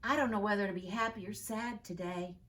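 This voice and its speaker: A woman's voice: medium pitch, fairly quick, fairly bright tone, pitched above the performer's own voice, close to an everyday voice. A woman reading aloud voices a thoughtful young schoolboy, earnest.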